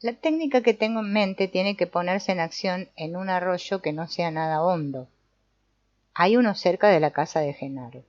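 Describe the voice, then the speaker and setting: A woman reading the lines of an old male sorcerer teacher, calm and instructive, in her own voice. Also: lowish voice, normal speed, dry recording, close to an everyday voice. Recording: dull lo-fi dry recording.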